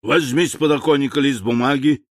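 A man's voice: medium pitch, sing-song, measured pace, sharp tone, dry recording, studio quality. A man playing a barking, hot-tempered general. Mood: curt. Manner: barking, imperious, curt. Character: commanding, hot-tempered male general of alien invaders.

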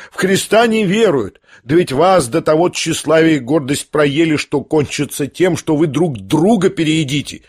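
A man's voice: fairly high pitch, breathy edge. A man reading an audiobook, voicing an older noblewoman who scolds and lashes out in indignant outbursts.

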